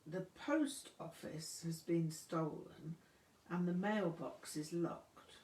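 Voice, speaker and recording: A woman voicing a relaxed, witty teenage English boy with an easy, thoughtful charm. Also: on the low side, takes it slowly, roomy sound.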